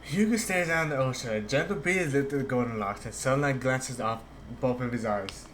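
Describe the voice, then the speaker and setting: A man's voice: mid-range voice, normal speed, fairly bright tone, a little hiss or hum. A man streaming a video game, casually reading dialogue aloud and commenting, sometimes stumbling over the lines.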